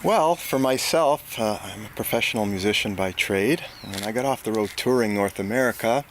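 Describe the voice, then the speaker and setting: A middle-aged man with a fairly low voice talks in a relaxed, unhurried way, pausing often with fillers. He is being interviewed outdoors in a home garden. A faint hiss sits under the recording. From Canada. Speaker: interviewee, bed and breakfast owner. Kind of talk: casual interview answers.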